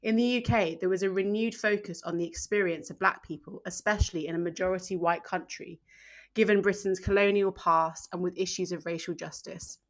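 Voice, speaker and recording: A woman co-hosting a podcast, chatting with her co-host and reading a measured introduction. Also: lowish voice, fairly even intonation.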